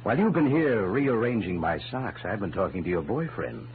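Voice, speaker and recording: Smug villain with wildly swooping inflection. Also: male voice, lowish voice, big pitch swings, normal speed. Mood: smug, gloating. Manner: suave, mocking. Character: smug, gloating male blackmailer. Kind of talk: 1940s radio crime drama acting. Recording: muffled old radio recording with hum.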